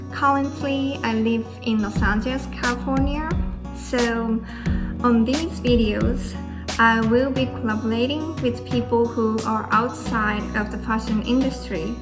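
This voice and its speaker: A woman from Japan introducing her channel as host, speaking slowly and carefully in a friendly way. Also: a little high. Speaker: host, pattern maker. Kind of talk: scripted intro to camera. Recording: music playing under voice.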